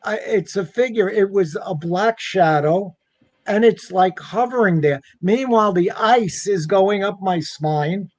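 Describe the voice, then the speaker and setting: A man's voice: sits high, measured pace, no echo. A man telling a personal anecdote in a casual, conversational way, acting out what people said. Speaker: guest telling a personal ghost story.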